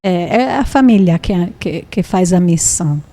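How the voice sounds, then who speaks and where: A woman's voice: lowish voice, animated, mellow. An Italian-born woman in her fifties with an Italian accent, speaking Portuguese as a second language. She is a podcast guest sharing a personal testimony and often checks in with a questioning "tá?". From Italy. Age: 50s. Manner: unhurried, often ends sentences with a checking "tá?". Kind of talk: interview testimony.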